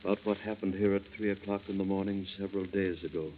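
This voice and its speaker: Man acting grave low-voiced father out for justice, deliberate, old tinny radio drama. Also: level tone, lightly acted. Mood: ominous, hushed. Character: calm, vengeful father returned as if from the dead. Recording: tinny narrow-band old radio recording.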